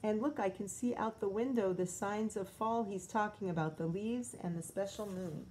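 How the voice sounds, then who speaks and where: A woman's voice: mid-range voice, moderate pace, a little mellow, slight background noise, low-quality recording. A woman teacher reading a picture book aloud to young children, expressively, with chatty explanations and questions.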